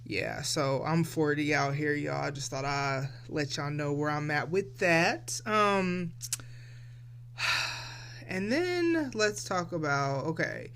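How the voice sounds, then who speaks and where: A woman's voice: fairly low pitch, a bit bright. A woman of forty hosting a casual solo podcast, talking in a reflective, loose and chatty way straight to her listeners. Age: 40s. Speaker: podcast host.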